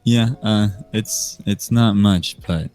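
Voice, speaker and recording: A man of nineteen with an American accent, chatting and telling stories as a podcast guest in a hesitant, unhurried way. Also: on the low side, steady tone. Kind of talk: casual storytelling chat. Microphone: remote voice call microphone. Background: soft music.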